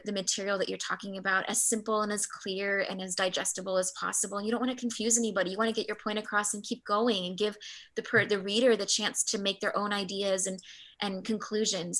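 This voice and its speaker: A woman's voice: mid-range voice, a bit bright. Chatty woman presenting a workshop. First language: Spanish. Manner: chatty, many restarts. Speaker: art history faculty.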